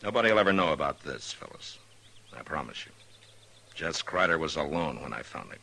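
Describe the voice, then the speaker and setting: Deep gravelly frontier marshal. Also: male voice, sing-song, sharp tone, full character voice. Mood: firm. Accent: American. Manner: measured, plain-spoken, authoritative. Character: calm, stern frontier marshal, protective and firm. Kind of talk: old-time radio western acting. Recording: noisy vintage radio drama recording.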